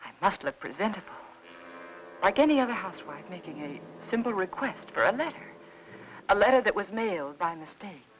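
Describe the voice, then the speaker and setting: An actress playing a frightened, desperate housewife in old-time radio noir style, anxious and confiding. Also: female voice, mid-range voice, animated, brisk, highs cut off, background noise, lightly acted. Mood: haunted, hushed dread. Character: frightened, desperate housewife hiding her husband's death. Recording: old radio drama with faint music.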